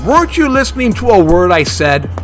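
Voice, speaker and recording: An actor playing a fussy, germophobic monster hunter, blunt and bossy, in a comedy horror audio drama. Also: male voice, high voice, fairly quick, over music, poor audio. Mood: irritated. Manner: deadpan, argumentative.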